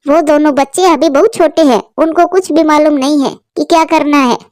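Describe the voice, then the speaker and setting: A woman voicing a kind, motherly female crow neighbor in a cartoon story, speaking in a soothing, gentle way. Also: high-pitched, moderate pace, dry recording, clean studio sound, close to an everyday voice.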